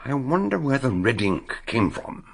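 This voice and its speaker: A man playing an eccentric, shrewd detective doctor in a radio drama, deliberate and musing as he questions and narrates. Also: mid-range voice, big pitch swings, creaky. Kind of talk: radio drama acting and first-person narration.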